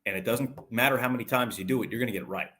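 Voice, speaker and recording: A man's voice: medium pitch, rapid-fire, fairly bright tone. A man giving conversational sports analysis as an interview guest, explaining things at length and in detail. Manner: talkative, explanatory, long detailed answers.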